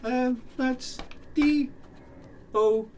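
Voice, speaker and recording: A man playing an excitable, suave aristocratic prince and nervous conspirator in a radio play. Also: extremely high pitch, very slow, heavily acted. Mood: blustering. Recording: distant mic, faint noise.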